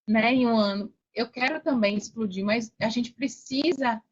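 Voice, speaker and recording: Woman, Brazilian accent, high pitch, casual storytelling, emphatic repetition, lo-fi podcast sound. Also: normal speed, slightly rough. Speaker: podcast guest.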